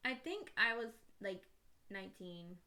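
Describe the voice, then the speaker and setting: Bright, giggly young woman host. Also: medium pitch, big pitch swings, measured pace. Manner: chatty, giggly, storytelling with lots of "like". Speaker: podcast host. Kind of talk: casual couple's podcast chat.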